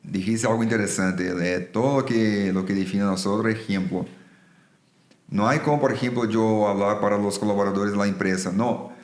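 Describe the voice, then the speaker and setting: A man's voice: lowish voice, fairly even intonation, moderate pace. A man from Brazil, a native Portuguese speaker with a Brazilian accent, talking earnestly and explaining things in a conversational interview. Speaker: business executive, podcast guest. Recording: roomy echo.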